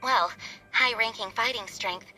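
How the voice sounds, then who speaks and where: A woman's voice: on the high side, unhurried, pushed and bright, rough recording. A woman voicing a dutiful, kind young knight commander in an anime game, speaking formally and with measure. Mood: composed. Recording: game music behind the voice.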